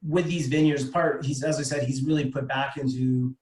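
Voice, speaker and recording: A Canadian man in middle age speaks at a medium pitch in a roomy, echoing space, recorded over a video call. He presents like a trade representative teaching a casual group, conversational and explanatory, feeling his way through sentences with some hesitation. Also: steady tone, normal speed, a little mellow. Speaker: wine importer presenting a tasting. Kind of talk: informal educational presentation. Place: indoor room, online video meeting. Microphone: video call microphone. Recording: echoey room on a video call.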